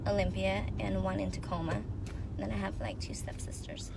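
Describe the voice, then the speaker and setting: A woman, born in Thailand and raised in the US, answering as an interview guest, giggly and soft-spoken. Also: mid-range voice, very animated, brisk, very noisy. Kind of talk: casual interview.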